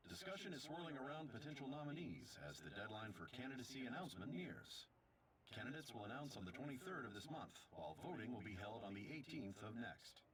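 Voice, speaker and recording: Lively-toned TV news announcer. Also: male voice, medium pitch, fast talker, slight background noise, rough recording. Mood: formal. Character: formal male television news announcer. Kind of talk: news reading in a video game.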